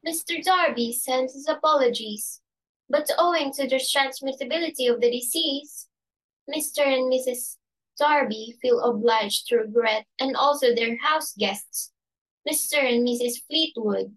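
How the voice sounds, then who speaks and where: A woman's voice: high-pitched, unhurried, a bit bright, poor audio. A woman playing a dutiful household servant in an amateur reading of a stage comedy, deferential and stiffly formal.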